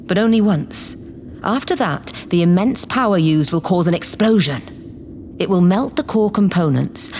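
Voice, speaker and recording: Audio drama villainess played by a woman: scheming politician, haughty and grandiose, highly animated intonation. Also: slight background noise, lightly acted. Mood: gloating, scheming. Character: imperious, scheming politician woman plotting to seize power. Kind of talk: theatrical audio drama acting.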